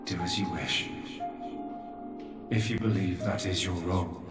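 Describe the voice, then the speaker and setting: A fading old god from a video game, solemn and resigned. The low, slow voice echoes from a distance over music. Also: male voice, steady tone. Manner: solemn, detached, unhurried. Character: weary, fading old godlike creator father, resigned. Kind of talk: dramatic video game voice acting.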